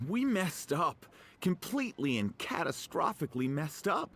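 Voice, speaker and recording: A man's voice: fairly high pitch, very animated, moderate pace, a bit bright, a little hiss or hum. A man playing a ruined, nervous merchant in a video game, humbled, earnest and apologetic.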